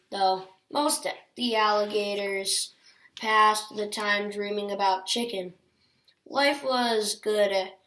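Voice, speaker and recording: A woman's voice: mid-range voice, sharp tone, rough recording. A woman reading a children's picture book aloud as a storyteller.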